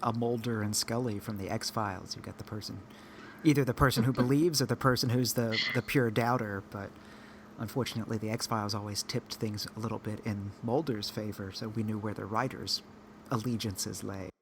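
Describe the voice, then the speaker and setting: A man co-hosting a podcast in casual chat, measured and given to wry asides. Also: lowish voice, fairly even intonation, noisy background. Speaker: podcast co-host.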